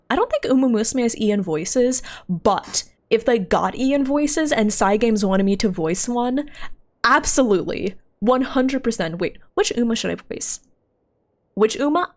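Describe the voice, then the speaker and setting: A young woman chatting casually with her stream viewers while playing a game, laughing often and thinking out loud. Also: sits high. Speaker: livestreamer. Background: faint game music.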